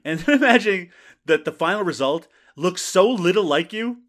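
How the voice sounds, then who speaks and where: A man's voice: high-pitched, animated, normal speed, a bit bright. A man co-hosting a casual podcast, reviewing a horror film with wry jokes, swearing and frequent laughs. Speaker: podcast co-host. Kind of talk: casual movie review chat.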